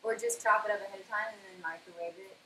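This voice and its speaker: A woman's voice: medium pitch, steady tone, fast talker. A woman helping out on a cooking stream, chatting casually with questions and small asides. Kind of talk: casual chat. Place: indoor home kitchen. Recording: echoey room with clear background noise.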